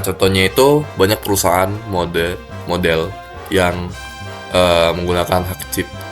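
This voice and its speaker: A man with a stuffy nose giving a class presentation in a cold room, speaking haltingly with many fillers. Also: deep voice. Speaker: student presenter.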